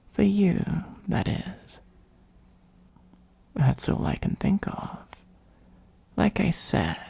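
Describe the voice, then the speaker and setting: A performer in an ASMR roleplay voicing an ancient, wry, self-serving dragon who gives advice in a breathy, husky half-whisper with measured, formal phrasing. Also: mid-range voice, mellow, dry recording, exaggerated voice.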